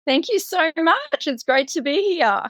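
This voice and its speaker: A woman's voice: high voice, very animated, a little slow, a bit bright, dry recording. A middle-aged woman from Singapore telling her personal story as an interview guest, articulate and reflective. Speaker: podcast guest.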